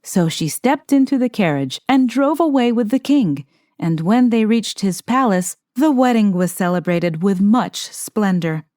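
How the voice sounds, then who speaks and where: A woman reading a fairy tale aloud as an expressive, scripted narration. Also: medium pitch, a little slow, no room sound, clean studio sound. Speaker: storyteller, audiobook narrator.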